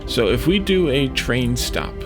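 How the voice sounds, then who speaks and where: A man giving casual gameplay commentary as a let's play host, thinking aloud in a hesitant, halting way. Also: mid-range voice, expressive. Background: music in the background.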